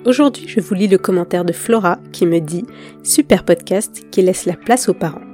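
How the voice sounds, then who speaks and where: Woman with a cold, nasal voice, podcast host, low mellow tone, hesitant gentle questions. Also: moderate pace, soft background music. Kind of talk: podcast interview.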